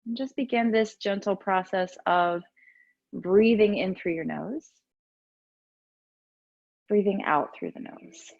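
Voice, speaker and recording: A woman teaching yoga and meditation, giving gentle, soothing, unhurried guidance with pauses between instructions. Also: mid-range voice, gravelly, dry recording, dull audio.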